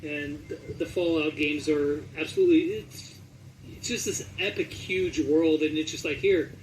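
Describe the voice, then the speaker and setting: A man's voice: fairly high pitch, fairly even intonation, moderate pace, far from the mic, a little hiss or hum, low-quality recording. A man interviewing in a casual, conversational style. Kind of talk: casual chat.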